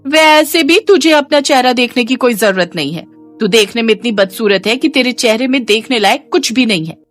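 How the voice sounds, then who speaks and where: A woman acts out a sharp-tongued young sister-in-law from a cartoon family drama. She nags and taunts in a raised, lively pitch. Music plays underneath. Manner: taunting, nagging.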